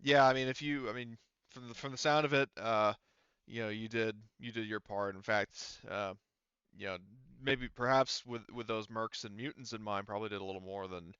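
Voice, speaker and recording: Low, smooth-voiced man running a tabletop game. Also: fairly even intonation, bright, forceful tone, dry recording, highs cut off. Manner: hesitant, halting phrasing as he improvises. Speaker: game master. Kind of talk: improvised narration of a tabletop roleplaying game.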